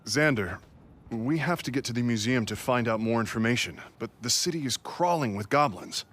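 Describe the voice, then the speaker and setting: An actor playing an earnest, learned explorer in a video game, with an urgent manner. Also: male voice, mid-range voice, animated, moderate pace, fairly bright tone, a little hiss or hum, lightly acted. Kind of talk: video game cutscene voice acting.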